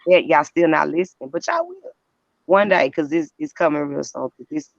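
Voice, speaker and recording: Woman caller, gravelly and preachy, on a dull dry line. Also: sits low, sing-song, brisk, dry recording. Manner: preachy, urgent.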